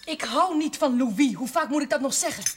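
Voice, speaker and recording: An actress playing a curt, stubborn young farm daughter in a television period drama. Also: female voice, high-pitched, lively intonation, fairly quick. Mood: defiant. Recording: faintly noisy TV soundtrack, clinking dishes.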